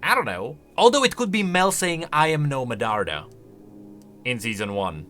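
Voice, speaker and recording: A man's voice: medium pitch, very animated, normal speed, pushed and bright, faint music behind. A man giving casual, chatty commentary on video game lore, thinking aloud with emphatic delivery.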